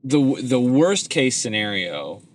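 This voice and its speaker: A man co-hosting a podcast in casual chat, chatty and quick with jokes. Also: medium pitch, big pitch swings, a little hiss or hum. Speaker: podcast co-host.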